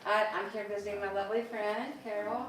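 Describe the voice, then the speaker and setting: A woman's voice: medium pitch, level tone, fairly quick. A woman from Asheville cheerfully introducing herself as a returning visitor. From Asheville, USA. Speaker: visiting congregant. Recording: echoey sanctuary, distant and noisy.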